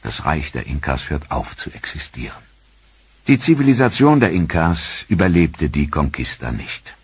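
A man narrating a history documentary in a measured, solemn, scripted style. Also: extremely low pitch, sing-song, slightly rough, no room sound.

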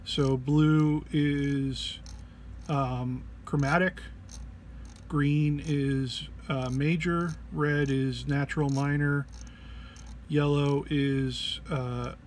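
A man who is under the weather gives an informal tutorial walk-through, explaining haltingly and hesitantly. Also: fairly high pitch, level tone, slight background noise. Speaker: presenter of a gear walk-through.

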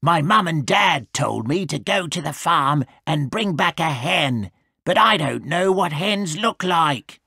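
A little boy wolf from a storybook, played by a woman, guileless and eager to please. The voice sits lowish with a gravelly creak and takes its time. He sounds polite and apologetic. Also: animated, takes it slowly, sharp tone, no room sound, clean studio sound, full character voice. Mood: polite, naive. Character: small young male wolf, naive, polite, eager.

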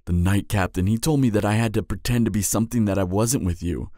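A man playing a grieving, guilt-ridden young guardian in a fairy-tale audio drama, speaking tenderly and haltingly with sighs. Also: on the low side, warm tone, no echo, clean studio sound, close to an everyday voice. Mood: guilty, anguished.

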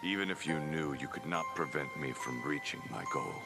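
A man playing a menacing, imperious villain in a fantasy video game, speaking slowly and ominously in a gravelly voice. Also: animated, heavily acted. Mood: cold menace. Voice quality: very deep, gravelly. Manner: slow, commanding, ominous. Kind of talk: video game cutscene acting. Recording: loud music behind.

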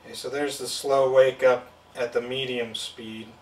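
A man's voice: medium pitch, little pitch movement, normal speed, recorded from a distance. Man demoing a circuit board, step-by-step explaining, roomy room, a bit off-mic. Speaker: product demonstrator. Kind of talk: hands-on product demo. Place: indoor studio at a table.

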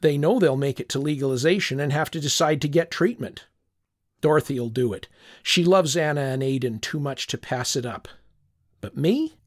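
An older man reads a story aloud as if remembering it himself, in a reflective, wistful way. His voice sits a little higher than most men's. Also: normal speed. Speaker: audiobook narrator. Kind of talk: first-person scripted narration.

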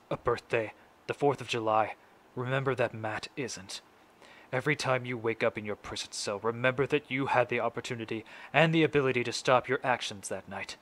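A young man acting a grieving, resolute father in a solemn, deliberate stage monologue. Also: mid-range voice, a bit bright, close to an everyday voice. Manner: solemn, deliberate, measured phrasing. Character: grieving, resolute middle-aged father addressing his son's killer. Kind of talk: dramatic stage monologue recitation. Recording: noisy amateur home recording.

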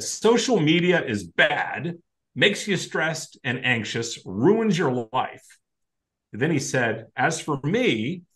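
A man's voice: medium pitch, fairly bright tone. An older man hosting a casual video call chat, upbeat, leaning hard on the words as he reads something out. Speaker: podcast host. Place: home room, indoors.

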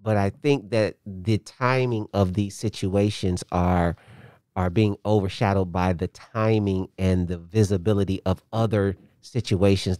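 A man, a guest on a chat show, laid-back and quick to laugh, using casual slang. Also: sits low, warm tone, dry recording, clean studio sound. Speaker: pastor and business owner, guest.

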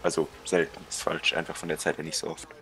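A man's voice: extremely low pitch, completely flat delivery, fast, a bit bright. A young man streaming a racing game, joking and swearing casually with a friend. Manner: slangy, joking, swears and laughs. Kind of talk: casual gaming stream chat.

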